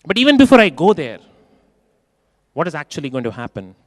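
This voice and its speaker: A man giving a keynote talk in a large conference hall, expressive and acting out quoted voices, repeating phrases for emphasis. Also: on the high side, brisk, clean studio sound. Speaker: keynote speaker.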